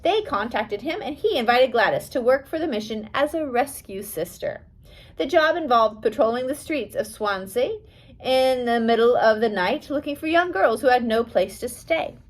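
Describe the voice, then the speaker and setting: A woman's voice: a little high, animated, normal speed. A woman reading a scripted biography aloud as an audiobook, with careful storytelling delivery. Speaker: audiobook reader.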